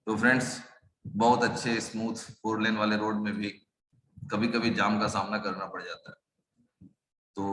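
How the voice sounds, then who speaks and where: A hoarse man, a network marketing leader, giving a rousing motivational pitch to an online meeting, full of repetition and rhetorical questions. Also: pushed and bright, reverberant, a little hiss or hum, low-quality recording. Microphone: video call.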